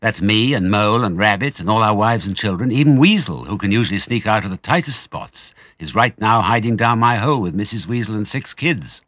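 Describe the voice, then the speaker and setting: A man's voice: lowish voice, expressive, fairly quick, hardly any pauses, a bit bright, no echo, voice pushed up. A man voicing an agitated, despairing father badger in a storybook, exclaiming in old-fashioned phrases.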